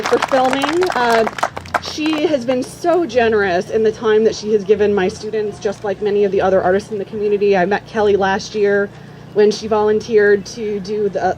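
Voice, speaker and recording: Woman, fairly high voice, academic host addressing an audience, halting delivery, faint outdoor noise behind. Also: rough recording. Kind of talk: informal spoken address to a live audience. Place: outdoors at a campground. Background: faint outdoor ambient noise.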